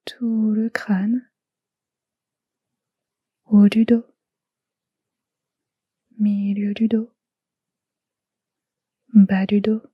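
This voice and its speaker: A woman guiding a relaxation meditation in a soft, hushed, soothing way, in short phrases with long pauses. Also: medium pitch, husky, no echo, highs cut off. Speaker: yoga nidra guide.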